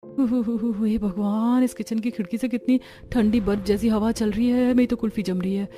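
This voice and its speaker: A woman playing a put-upon young daughter-in-law in an animated family story, complaining dramatically and with self-pity. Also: a little high, normal speed, close to an everyday voice. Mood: grumbling. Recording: music bed under the voice.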